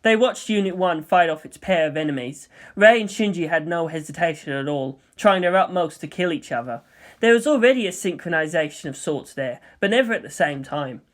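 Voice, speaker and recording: A woman reading a story aloud as an audiobook narrator, in an expressive scripted style. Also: on the low side, brisk. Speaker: audiobook narrator of fan fiction.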